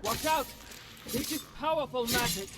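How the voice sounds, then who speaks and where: A woman's voice: on the high side, expressive, moderate pace, close to an everyday voice. A woman voicing a stern, devout holy warrior in a fantasy game, with a solemn, formal and righteous manner. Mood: stern, defiant. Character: stern, devout female holy warrior, dryly wry companion. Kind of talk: fantasy video game voice acting. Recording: loud music behind the voice. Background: loud game music.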